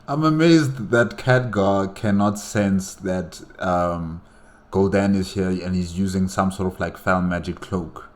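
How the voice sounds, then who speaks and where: Very low-voiced man giving casual reactions. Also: unhurried. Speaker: reaction video host. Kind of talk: casual spoken reaction commentary.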